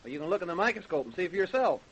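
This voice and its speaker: An actor playing a confident, blunt forensic investigator in an old-time radio drama, explaining assertively and impatiently. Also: male voice, sits high, sing-song, racing, slight background noise, rough recording. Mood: assured, explanatory. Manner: assertive, matter-of-fact explaining with impatient jabs.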